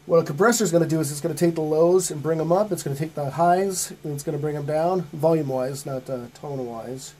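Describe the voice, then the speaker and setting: A middle-aged man with a slightly high, soft-edged voice leads an informal tutorial. He explains each step in a plain, folksy way, as if from a small home studio. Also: quick pace, hardly any pauses. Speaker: host of music recording tutorial.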